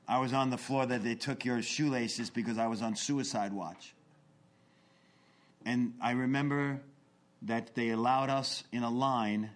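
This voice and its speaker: A man in his forties giving an earnest, confessional talk about his recovery, swinging between intense bursts and hushed asides. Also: medium pitch. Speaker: recovery speaker. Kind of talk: personal testimony talk.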